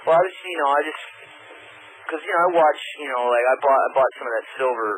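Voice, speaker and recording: A man's voice: mid-range voice, quick pace. A man calling a radio show, chatting casually in a hesitant, rambling but earnest way. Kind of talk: casual phone-in chat. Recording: noisy phone call on radio.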